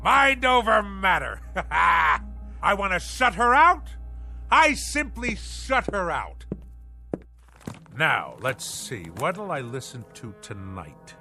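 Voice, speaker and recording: A grandiose, paranoid old-salt husband in a theatrical radio drama. His voice sits high and swings wildly as he declaims in slow, bombastic nautical phrases, with music in the recording. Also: huge pitch swings, sharp tone, heavily acted. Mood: gleeful, manic. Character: pompous navy-obsessed husband, manic, blustering and paranoid.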